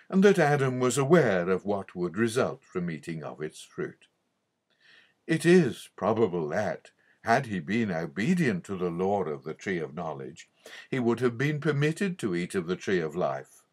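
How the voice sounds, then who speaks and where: A man reading a theological audiobook in a measured, formal and emphatic manner. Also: lowish voice. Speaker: audiobook reader. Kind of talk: scripted narration of a theological book.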